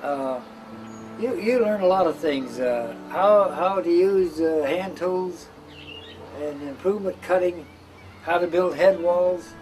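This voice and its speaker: A man's voice: high voice, slow, darkish tone, slight background noise, rough recording. An older man informally recalling his own past in an oral-history interview. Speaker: former work-camp member giving testimony.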